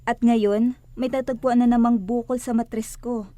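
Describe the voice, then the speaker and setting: A woman's voice: on the high side, little pitch movement, unhurried, dark tone, dry recording, natural voice. An actress playing a devout, hopeful woman who speaks earnestly and reassuringly in a religious radio drama. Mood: earnest, comforting.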